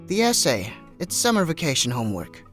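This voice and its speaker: A man's voice: fairly high pitch, wildly swooping pitch, a little fast, fairly bright tone, faint music behind. A man voicing a cocky, sarcastic boy rival in a manga dub, boastful and teasing. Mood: confident.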